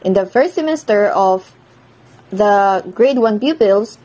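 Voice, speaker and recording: A woman's voice: medium pitch, expressive, mellow. Young filipino woman reading her class report, lots of restarts and fixes. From Philippines. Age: young adult. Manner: halting, with many restarts and self-corrections. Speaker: student presenter. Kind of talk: class report over slides.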